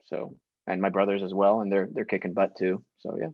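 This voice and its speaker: A man's voice: sits low, little pitch movement, a little fast, no echo, phone-quality sound. A young man born in Syria, a guest actor talking casually and emphatically in an interview, laughing often.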